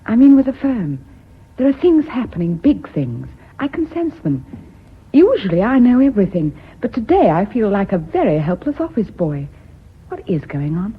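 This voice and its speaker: An eager, curious office assistant in a radio play. Her husky voice swoops up and down in pitch. The recording is thin and phone-like. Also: very animated, normal speed. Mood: fretful curiosity. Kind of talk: British radio drama acting.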